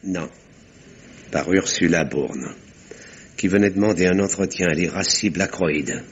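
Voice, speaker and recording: An actor playing a composed, wry village doctor who narrates a 1950s-style French radio mystery in measured, literary, husky tones. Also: male voice, sits low, a little hiss or hum. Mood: confiding. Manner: measured, dryly ironic. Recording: dull vintage radio sound.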